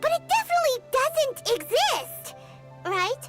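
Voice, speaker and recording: A woman voicing a tiny, childlike fairy companion in an anime game, squeaky, cutesy, curious and naive. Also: big pitch swings, normal speed, fairly bright tone, soft background music, heavily acted.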